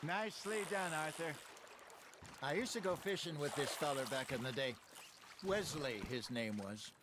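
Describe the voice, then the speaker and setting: A man's voice: a little high, animated, moderate pace, close to an everyday voice. An actor playing a wry older male outlaw in a western game, a folksy, teasing fisherman and storyteller. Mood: encouraging. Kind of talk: cinematic western game acting. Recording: clear outdoor ambience noise behind.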